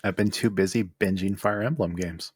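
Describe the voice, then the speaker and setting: A man's voice: sits low, fairly even intonation, moderate pace, breathy edge, no room sound, studio quality. A man co-hosting a podcast in casual, conversational talk. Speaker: podcast co-host. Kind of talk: casual chat.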